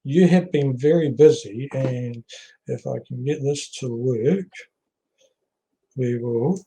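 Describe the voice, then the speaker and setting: A man's voice: mid-range voice, slow pace, dark tone, slight background noise, rough recording. A man hosting a casual video-call interview, chatting and asking short, friendly questions. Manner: chatty, asks short questions, laughs easily. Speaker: host.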